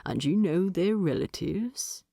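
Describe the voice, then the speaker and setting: A woman voicing a gruff, terse male dwarf in an audiobook, speaking seriously and with deliberation. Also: on the low side, expressive, unhurried, dark tone, no room sound, clean studio sound, full character voice. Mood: probing. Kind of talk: audiobook character voice.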